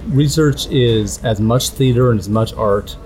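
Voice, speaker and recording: A middle-aged man from Canada, a guest ethnographer speaking in a measured, reflective way with frequent restarts. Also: mid-range voice, a little mellow, rough recording. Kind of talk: conversational interview.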